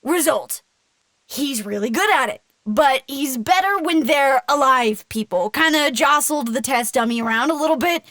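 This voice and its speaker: An actress playing an eager, affectionate young agent in an audio drama, with a bubbly, informal, excitable manner. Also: female voice, high voice, no room sound, heavily acted. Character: eager, stubborn, affectionate young female agent training a creature.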